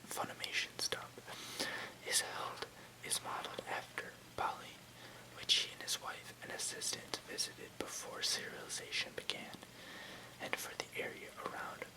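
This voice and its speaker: An ASMR host reading an encyclopedia article aloud in a whisper, measured, with the odd stumble over a word. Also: rough recording. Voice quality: unvoiced whisper.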